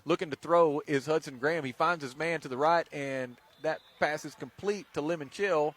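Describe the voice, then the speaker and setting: A man's voice: fairly high pitch, moderate pace, a bit bright. A man giving casual, joking play-by-play of a football game in a folksy, bantering way. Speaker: play-by-play sports announcer. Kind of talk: casual, joking radio play-by-play commentary of high school football. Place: outdoor football field sideline.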